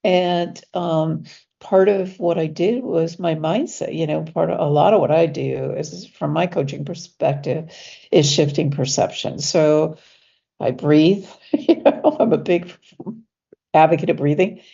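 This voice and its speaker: A woman with a low, gravelly voice, stuffy from allergies, chatting as a guest on a lo-fi call. Her tone is mellow and husky, with small laughs and drawn-out stressed words. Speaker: guest coach. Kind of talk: casual interview conversation.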